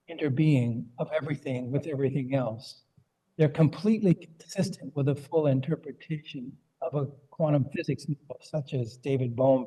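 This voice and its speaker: Older man, conference commenter: mellow tone, earnest and unhurried, lots of ums. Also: a little high, husky, poor audio. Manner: measured and earnest, with frequent ums. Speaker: conference participant.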